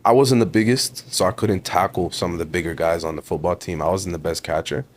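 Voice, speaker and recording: Low-voiced young man with a Toronto accent. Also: brisk. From Ontario, Canada. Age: 20s. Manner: emphatic, motivational, repeats words, calls the host bro. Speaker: podcast guest. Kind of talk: casual interview talk.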